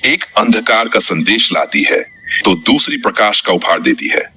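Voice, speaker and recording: Man voicing a wise, bright-voiced fatherly sun god. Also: fairly low pitch, animated, moderate pace, breathy edge, heavily acted. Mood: tender, wise.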